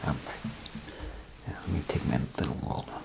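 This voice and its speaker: A man doing soft-spoken, partly whispered ASMR role play as an easygoing bathroom attendant, murmuring unhurried, hesitant small talk over background noise.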